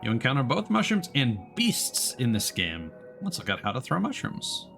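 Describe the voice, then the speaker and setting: A male streamer with a mid-range, animated voice gives let's play commentary. He reads out game text with care and drops in relaxed asides, with game music behind him. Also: mid-range voice, normal speed, gravelly.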